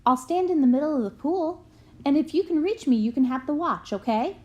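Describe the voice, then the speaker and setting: A female swimming coach from a storybook, acted by a woman reading aloud. She is encouraging but firm, speaking in a high voice with a brisk, coaxing manner. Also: lively intonation, darkish tone, dull audio.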